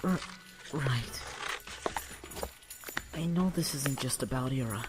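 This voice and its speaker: A woman's voice: very deep voice, lively intonation, slow, background noise, heavily acted. A woman playing a timid, anxious young male soldier in an audio drama, hesitant and stammering.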